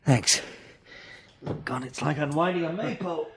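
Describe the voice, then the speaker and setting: An actor playing an agitated British hospital patient, speaking hesitantly and with exclamations in a radio drama. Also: male voice, fairly high pitch, moderate pace, husky. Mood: nervous. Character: agitated male hospital patient with bandaged eyes.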